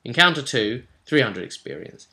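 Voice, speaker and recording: Bright-voiced man, emphatic tabletop vlogger. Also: mid-range voice, moderate pace. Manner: animated, emphatic, repeats words for stress, acts out imagined players' remarks. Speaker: tabletop game master and vidcast host. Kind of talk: rambling vlog commentary on a roleplaying game session.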